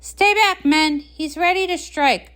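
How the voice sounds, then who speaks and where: A woman's voice: high voice, unhurried, fairly bright tone. A woman voicing an anxious, easily exasperated male pony prince with an urgent, dramatic manner in a comic read-along.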